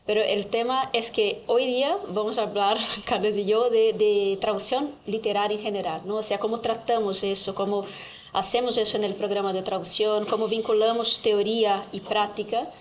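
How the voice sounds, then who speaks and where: A woman's voice: mid-range voice, slight background noise. A Brazilian woman speaking Spanish with a Brazilian Portuguese accent on a university panel. She is a translation professor who talks reflectively and often ends her points with a small tag question. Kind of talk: panel talk. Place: university auditorium.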